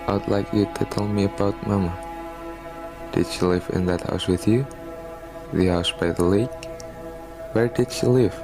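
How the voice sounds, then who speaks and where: A man's voice: fairly low pitch, fairly even intonation, unhurried, long pauses, over music. A man playing a doctor in an amateur radio drama, asking probing, coaxing questions. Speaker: doctor, researcher.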